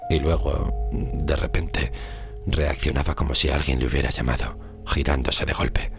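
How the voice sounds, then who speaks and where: A man narrating a scripted horror story in the first person, in a deliberate, hushed delivery with dramatic pauses. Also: a bass voice. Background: music under the voice.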